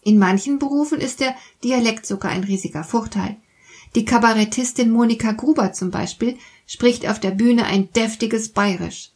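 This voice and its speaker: A woman hosting a podcast, reading a scripted monologue in a calm, explaining way and speaking directly to the listener. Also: a little high, moderate pace, slightly rough. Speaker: podcast host.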